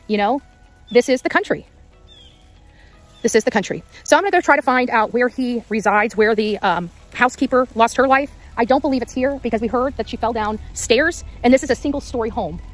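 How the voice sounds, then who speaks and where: Higher-voiced woman reporting on location. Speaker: amateur on-site reporter. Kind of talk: unscripted on-location vlog reporting. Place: outdoors in quiet rural area.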